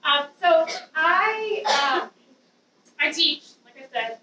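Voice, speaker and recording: Woman, high bright voice, loud, nervous ums, roomy hall, distant mic. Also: lively intonation, slow, a little hiss or hum. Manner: nervous, chatty, many ums. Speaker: guest speaker giving a testimony. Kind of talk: personal testimony to a youth group. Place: dim church youth room with an audience. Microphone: handheld mic, far camera.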